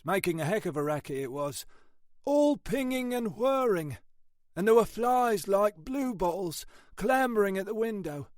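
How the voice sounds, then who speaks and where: A gloomy, blunt copper with a weary air, voiced by a male reader. His telling rises high and loose in pitch, unhurried, in a close dry recording. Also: dry recording. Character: weary police sergeant Ashford, blunt, gloomy man.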